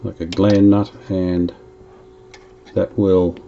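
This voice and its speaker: A man's voice: low-pitched, flat delivery, takes it slowly, vocal fry, muffled recording, noisy background. A man narrating as he restores an old radio, chatting casually and thinking aloud while he works.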